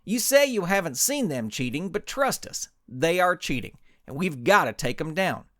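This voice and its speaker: A man's voice: fairly high pitch, big pitch swings, normal speed, fairly bright tone, no room sound, studio quality. A man voicing a tough, folksy cowboy superhero with drawling ranch-hand talk. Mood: condescending, resolute.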